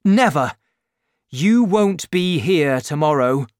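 An earnest, passionate young man from a storybook reading, acted by a male narrator. The voice is pushed noticeably higher and louder than the reader's own, urgent and emphatic, with lively swings in pitch. Close, dry studio sound. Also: very slow, no room sound, full character voice.

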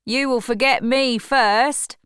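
A woman's voice: fairly high pitch, fairly even intonation, takes it slowly, fairly bright tone, smooth, no echo, clean studio sound, pitched above the performer's own voice. Woman reading as a blunt, protective teenage boy. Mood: stern. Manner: earnest, protective. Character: young man of sixteen, blunt and protective.

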